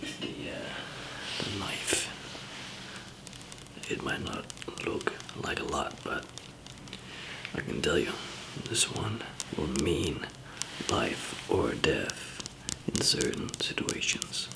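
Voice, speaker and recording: A man playing a calm, easygoing survival trainer in an ASMR zombie apocalypse roleplay, whispering softly and hesitantly with a gravelly, breathy voice. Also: rumbling low, full character voice, rough recording. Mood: quietly instructive. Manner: hesitant, halting, soft-spoken. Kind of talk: ASMR roleplay, improvised monologue to listener.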